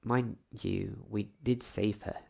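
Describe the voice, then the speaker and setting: A man voicing a grudging young schoolboy who grumbles in a low-key way in a storybook reading. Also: fairly even intonation, slow, creaky, dark tone, slightly rough, full character voice. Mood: grumbling.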